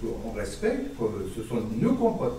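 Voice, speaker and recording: A man from Iran speaking in a public discussion, measured and hesitant with many fillers, recorded by a far camera in a meeting room. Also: high-pitched, huge pitch swings, dark tone, very echoey, slight background noise. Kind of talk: debate contribution.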